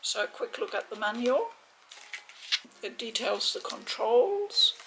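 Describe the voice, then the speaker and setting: A woman giving a casual, chatty unboxing commentary in a measured, hesitant way, with a creaky voice. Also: medium pitch, a bit bright, rough recording. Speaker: video game unboxing host. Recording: faint background noise.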